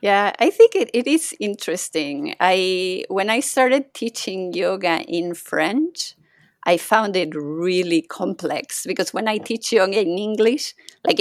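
A woman from Mexico, a native Spanish speaker, talking as a podcast guest in a thoughtful, gentle way and laughing often. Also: on the low side, no echo, clean studio sound. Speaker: podcast guest, yoga teacher and health coach. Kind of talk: casual interview conversation.

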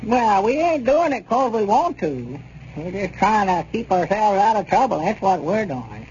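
A noisy old radio broadcast carries a high-pitched, fretful old storekeeper. He drawls in a folksy rural Southern accent and stubbornly defends himself, in 1930s radio comedy style. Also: very animated, normal speed, a little mellow, lo-fi sound. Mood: fretful, defensive.

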